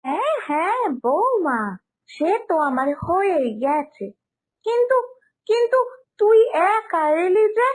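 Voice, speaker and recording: A woman's voice: very high-pitched, big pitch swings, slow, heavily acted, rough recording. A woman voicing an older, eager and touchy aunt in a cartoon story, with an emphatic, questioning delivery. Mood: eager, surprised.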